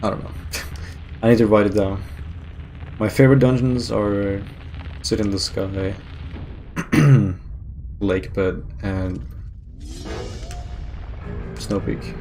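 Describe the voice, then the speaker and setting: A man streaming a speedrun, talking in casual, rambling commentary with a monotone delivery, speaking English as a second language. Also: lowish voice, slow pace, long pauses, slight background noise, rough recording. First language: not English. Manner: monotone, rambling, self-correcting. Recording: game music playing behind.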